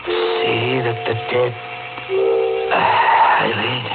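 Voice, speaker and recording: An actor playing a hearty but haunted truck driver in a 1950s radio drama, theatrical and brooding. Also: male voice, medium pitch, flat delivery, very slow. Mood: frantic, startled. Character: hearty, haunted truck driver telling his nightmare tale. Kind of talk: 1950s radio drama acting, first-person narration. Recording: tinny old radio broadcast, loud music behind.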